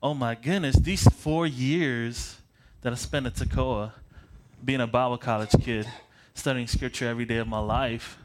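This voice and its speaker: A man's voice: mid-range voice, fairly even intonation, moderate pace, slight background noise. A man in his late twenties from Michigan, leading a prayer and then introducing himself as a preacher in a casual, self-deprecating way, often restarting his phrases and chuckling as he paces around. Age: late 20s. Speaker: pastor.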